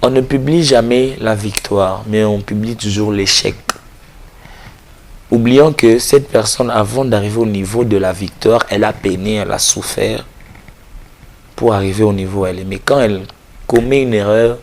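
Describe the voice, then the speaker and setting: A man's voice: lowish voice, steady tone, moderate pace, darkish tone. A man, speaking as an interview guest with a cold, ending in an earnest, preachy appeal. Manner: earnest, exhorting, repeats phrases. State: has a cold. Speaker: gospel artist, interview guest. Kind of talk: casual interview talk, closing with a preachy appeal.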